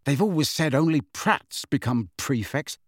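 Teenage younger brother for an audiobook, read by a man: awkward, muttering, hesitant, hopeful, animated pitch. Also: measured pace, no room sound, clean studio sound. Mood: doubtful, then hopeful.

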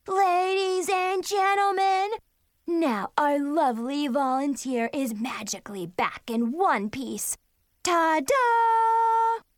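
A woman's voice: extremely high pitch, animated, takes it slowly, dry recording, clean studio sound, pitched above the performer's own voice, heavily acted. A woman voicing a bratty, theatrical little girl who plays stage magician in a storybook, showy and prone to whining. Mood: grand, showy. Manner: showy announcing, whiny when thwarted.

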